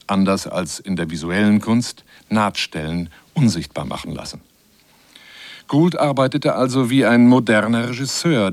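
A man reading a scripted radio essay in a measured, articulate and explanatory manner. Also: on the low side, dry recording. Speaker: radio feature narrator. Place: radio studio.

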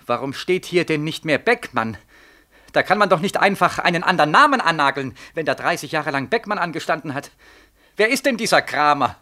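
An actor playing a bewildered, desperate soldier home from Siberia in a 1940s German radio drama, with a plaintive, insistent delivery. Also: male voice, on the high side, very animated, a little fast, pushed and bright. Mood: bewildered, agitated. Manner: insistent, repetitive questioning.

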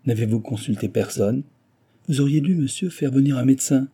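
A man's voice: medium pitch, fairly quick, warm tone, husky, pitched above the performer's own voice. A man reading an audiobook and voicing an earnest, proper young heiress who speaks in a formal, firm way. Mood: surprised. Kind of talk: audiobook dialogue.

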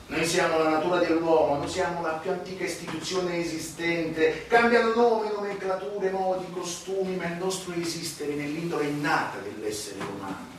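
An actor playing an arrogant, unrepentant Sicilian mafioso, delivering a solemn, declamatory stage monologue with dramatic pauses. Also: male voice, on the high side, a little hiss or hum, natural voice. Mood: arrogant, menacing. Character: proud unrepentant Sicilian male mafioso confessing, arrogant, self-righteous. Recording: echoey distant stage recording with music. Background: music.

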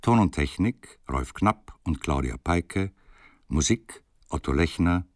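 A man's voice: low voice, expressive, slow pace, dry recording. A man reading the credits of a radio play as a scripted announcement.

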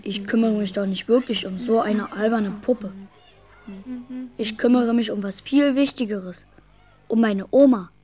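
A woman's voice: mid-range voice, measured pace, music in the background, natural voice. A woman playing an imaginative, secretive and stubborn little schoolboy in a children's radio play, cheeky and musing in manner.

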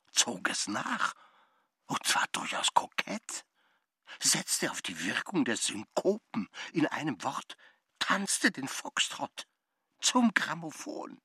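Ein Mann erzählt in einem Hörspiel theatralisch und spöttisch. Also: male voice, medium pitch, sing-song, slow, bright, forceful tone, slightly rough, no echo, clean studio sound, exaggerated voice. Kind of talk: dramatic radio play narration.

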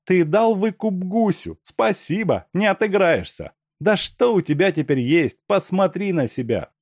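A man's voice: high-pitched, lively intonation, measured pace, darkish tone, no echo, clean studio sound, pitched above the performer's own voice, full character voice. A man reading an audiobook and voicing a fierce young woman thief who scolds and gives orders sharply. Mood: scornful. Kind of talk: audiobook character voice.

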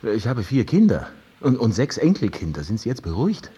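An actor in a radio drama playing a sarcastic, easily exasperated older widower who helps reluctantly. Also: male voice, lowish voice, huge pitch swings, fairly quick, warm tone, slightly rough, lo-fi sound, heavily acted. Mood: weary, firm.

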